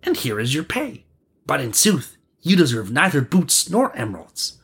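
A man voicing a clever, brisk adventurer in a storybook, with old-fashioned, formal wording. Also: a little high, sing-song, a bit bright, slightly rough, voice pushed up, full character voice. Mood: admiring, scornful.

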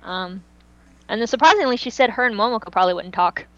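A woman's voice: a little high, animated, fast talker, fairly bright tone, no room sound, highs cut off. A woman hosting a podcast, chatting casually in an informal, slangy way. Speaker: podcast host.

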